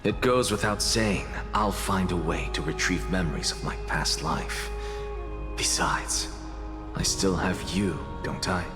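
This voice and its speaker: An actor playing a proud, brilliant scholar in a video game, lecturing in a grandiose, self-assured manner. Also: male voice, sits low, a little slow. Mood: confident, lecturing. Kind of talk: dramatic video game voice acting. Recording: long reverb, music behind. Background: music.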